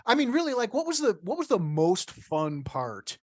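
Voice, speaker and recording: Male podcast interviewer, fairly high voice, close dry sound, casual conversational delivery. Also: huge pitch swings, moderate pace, darkish tone, no echo.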